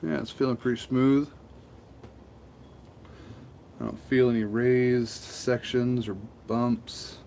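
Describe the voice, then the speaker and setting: A man's voice: mid-range voice, steady tone, a little slow, vocal fry, darkish tone, a little hiss or hum. Nasal man chatting from his workshop. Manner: rambling and chatty, answers viewer comments. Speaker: woodturner streaming his work. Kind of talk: casual livestream chat. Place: cold home workshop.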